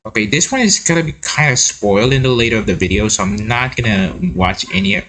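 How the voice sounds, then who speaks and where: A man giving casual, excitable reaction commentary, repeating words and breaking into his own read-aloud with asides. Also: medium pitch, fast talker, vocal fry. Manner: excitable, repeats words, interjects while reading. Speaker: host of a reaction video.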